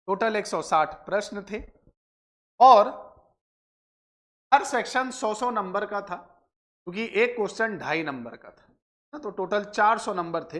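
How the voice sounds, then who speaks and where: A man's voice: high voice, a bit bright. A man presents exam analysis like a coach, addressing viewers directly with frequent filler phrases and check tags. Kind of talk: explanatory exam analysis for students.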